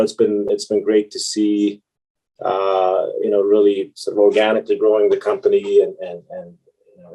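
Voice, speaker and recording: A man, answering interview questions in a halting way with many restarts. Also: on the low side, dark tone, low-quality recording. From Hungary. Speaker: guest, VP of exploration.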